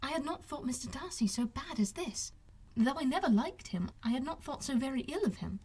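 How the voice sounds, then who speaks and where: A woman voicing a spirited young gentlewoman in an audiobook, speaking in emphatic, formal period phrases. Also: high-pitched, big pitch swings, a little fast, pitched above the performer's own voice. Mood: shocked, indignant. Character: spirited young gentlewoman, shocked and indignant, easily sympathetic. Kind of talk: audiobook character dialogue.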